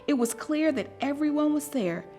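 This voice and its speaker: A woman reading a children's story aloud in a deliberate, expressive and dramatic storytelling manner. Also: high-pitched. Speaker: storybook reader.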